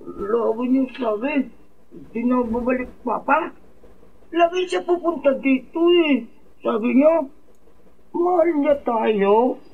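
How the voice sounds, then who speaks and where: A performer voicing a tearful, pleading young boy with halting, childlike speech in a radio drama. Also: high-pitched, expressive, creaky, full character voice. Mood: tearful, insistent. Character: young boy, tearful, pleading, insistent about his father. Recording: muffled sound with faint background noise.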